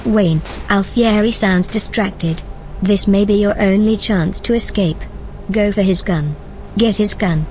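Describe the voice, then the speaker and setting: A woman plays a watchful companion in an audio drama, advising urgently in short instructions. Her voice is medium in pitch, with faint noise behind it. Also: unhurried, a little mellow, close to an everyday voice, low-quality recording. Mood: urgent, concerned.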